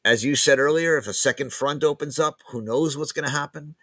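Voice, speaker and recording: A man, a guest professor, talking casually in an interview, pausing and repeating words as he thinks aloud. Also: fairly high pitch, bright, forceful tone, no room sound. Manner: hesitant, many restarts and repeated words.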